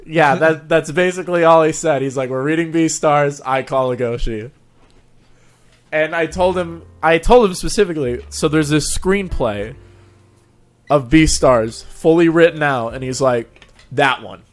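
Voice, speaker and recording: A man's voice: fairly high pitch, unhurried. A man chatting casually as the host of an interview show. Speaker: show host, fan fiction reader.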